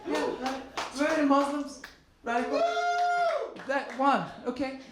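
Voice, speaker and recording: A man in his forties from Turkey with a Turkish accent, doing stand-up comedy and chatting with the crowd in a lively, teasing way. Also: extremely high pitch, normal speed. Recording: very echoey, noisy room.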